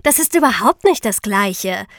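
A woman's voice: medium pitch, expressive, moderate pace, fairly bright tone, no room sound, clean studio sound. A woman co-hosting a podcast, chatting casually in a direct, colloquial way. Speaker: podcast co-host.

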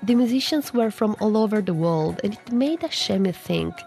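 A woman's voice: mid-range voice, animated, moderate pace, gravelly, warm tone, faint music behind. A woman reading scripted narration as a radio news reporter.

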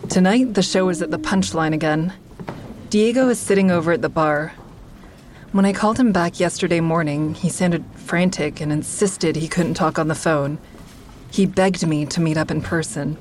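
A woman's voice: lowish voice, moderate pace, a little mellow, slight background noise, close to an everyday voice. An actress playing an observant comedian who narrates her own story in an intimate, scripted first-person style. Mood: observant, concerned. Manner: intimate present-tense storytelling.

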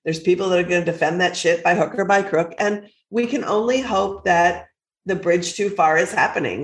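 A woman co-hosting a podcast, stuffy and low-voiced while recovering from an illness, talking bluntly and with passion, often swearing. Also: echoey room. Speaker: podcast co-host. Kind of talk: casual chat.

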